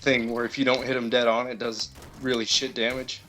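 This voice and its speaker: A man's voice: mid-range voice, level tone, fairly quick, a bit bright. Hesitant male caller chatting over music. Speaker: caller to a gaming stream. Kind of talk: casual chat about video games. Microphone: internet voice call.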